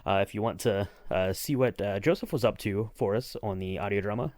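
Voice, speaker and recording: A man hosting a podcast in casual chat, speaking haltingly as he thinks out what to say. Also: fairly low pitch, a little mellow, no echo. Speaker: podcast host.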